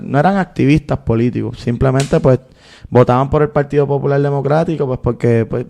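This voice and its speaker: A Puerto Rican man in his thirties speaks as an interview guest, his voice gravelly with a dark, mellow tone. He tells his story in long, earnest stretches, unhurried and full of fillers. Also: mid-range voice. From Puerto Rico. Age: 30s. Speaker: guest, activist and filmmaker.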